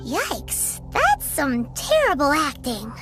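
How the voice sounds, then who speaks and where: Tiny sassy fairy sidekick; very high, lively voice with sarcastic asides. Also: female voice, music in the background, heavily acted. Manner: sarcastic, quick remarks. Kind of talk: video game voice acting.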